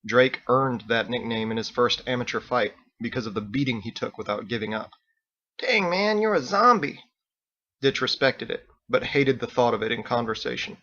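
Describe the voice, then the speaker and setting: A man's voice: mid-range voice, steady tone, fairly quick, hardly any pauses, fairly bright tone, highs cut off. A man reading his own story as a scripted audiobook narration.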